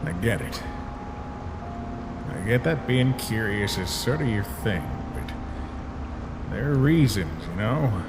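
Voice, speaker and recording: A man's voice: fairly low pitch, animated, slow pace, long pauses, heavy background noise. A man voicing a laid-back, guarded skeleton big brother in a fan comic dub, with a casual drawl. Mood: weary, earnest.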